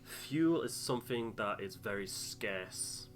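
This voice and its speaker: Casting: male game playthrough host, lower-pitched, casual, thinks aloud, music playing behind him. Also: animated, slow pace. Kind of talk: casual gameplay commentary, thinking aloud and reading out game text. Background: game music with guitar.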